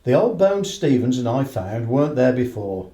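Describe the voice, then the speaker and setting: Guy playing earnest respectful stableman in a radio play, dark soft-edged voice, echoey. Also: mid-range voice, very animated, moderate pace, natural voice. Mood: earnest, uneasy. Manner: plain-spoken, respectful, matter-of-fact. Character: earnest, worried horse trainer reporting to a detective. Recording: roomy, echoing radio play recording.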